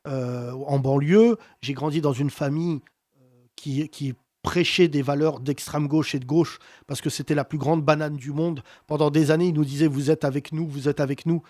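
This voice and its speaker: A man hosting a heated political debate, talking with passion and emphasis and wide swings in pitch. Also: steady tone, normal speed, darkish tone, no echo. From France. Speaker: host.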